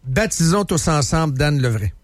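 A man's voice: fairly high pitch, expressive, no room sound. A Québécois man hosts a talk radio show in the studio, teasing his guests. He stretches out key words when he wants to make a point. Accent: Québécois French. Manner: joking, teasing, slows down to stress points. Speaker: radio host. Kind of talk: casual talk radio banter.

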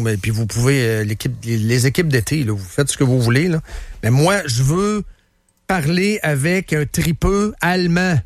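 A man's voice: a little high, no echo. A man with a Quebec French accent hosting a radio show in a studio, talking in a chatty, emphatic way and repeating himself. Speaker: radio host. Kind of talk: casual radio talk.

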